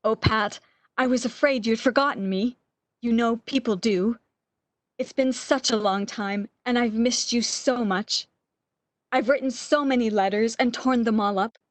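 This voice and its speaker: Lady acting a wistful sick woman in bed, highish voice, dry recording, breathless old radio melodrama. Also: measured pace, dry recording, natural voice. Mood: emotional, relieved. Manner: breathless, emotional, old-fashioned melodramatic phrasing. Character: bedridden lovesick woman, wistful, eager, longing for lost love.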